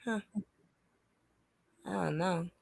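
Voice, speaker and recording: A woman's voice: lowish voice, drawn-out words, creaky, darkish tone. A woman hosting an informal online discussion, talking casually as she thinks things through. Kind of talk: casual chat.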